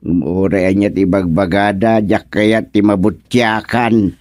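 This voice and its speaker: A man's voice: on the low side, steady tone, measured pace, darkish tone, no room sound, clean studio sound, close to an everyday voice. An actor playing a stubborn, whining older village chairman with an Ilocano accent in a radio drama comedy. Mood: weary, grumbling. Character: stubborn ailing uncle, village chairman, afraid of surgery.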